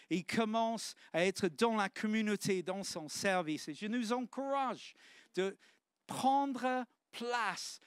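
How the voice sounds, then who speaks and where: A man's voice: high voice, clear, resonant tone, no room sound, studio quality. A man, preaching a sermon in church with earnest, exclamatory restarts. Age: 50s.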